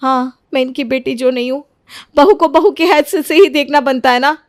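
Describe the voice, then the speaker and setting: A woman's voice: sits high, moderate pace, close to an everyday voice. A woman voicing an insolent, scheming young daughter-in-law in a moral story, sarcastic and defiant in manner. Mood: indignant, accusing.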